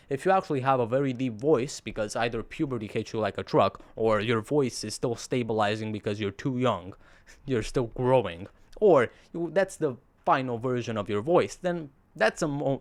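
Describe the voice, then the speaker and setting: A teenage boy with a deep radio voice, talking earnestly to camera in a rambling vlog monologue with sarcastic asides. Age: teens. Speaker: vlogger.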